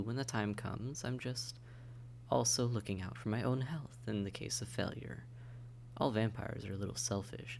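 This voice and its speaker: A man's voice: mid-range voice, creaky, slight background noise, close to an everyday voice. A man playing a suave, condescending vampire in an intimate audio roleplay, speaking to the listener in a polite, wry, teasing and articulate way. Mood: candid, persuasive.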